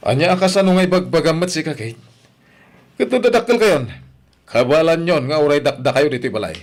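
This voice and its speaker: Callous, animated Ilocano father. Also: on the high side, brisk. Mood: brusque. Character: callous middle-aged father, gruffly defensive and dismissive. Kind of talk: radio drama acting.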